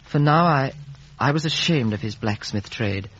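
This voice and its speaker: An actor playing an earnest, polite young gentleman in a 1950s radio drama, narrating and speaking in measured, literary fashion on a muffled old recording. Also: mid-range voice, expressive, breathy edge. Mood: reflective.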